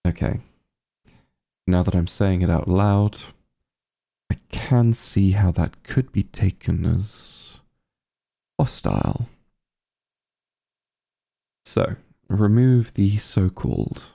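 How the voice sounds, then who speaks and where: An evil sorcerer king, acted by a man for an ASMR roleplay, vain and openly disdainful. His voice is dark and mellow, heavily creaky, and he drawls slowly with pompous, sardonic exasperation. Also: fairly low pitch, exaggerated voice. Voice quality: gravelly, breathy.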